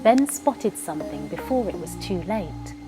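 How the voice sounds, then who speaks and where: Animated woman storyteller, slightly low voice. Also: unhurried, music in the background, rough recording. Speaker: professional storyteller. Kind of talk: expressive scripted children's story narration.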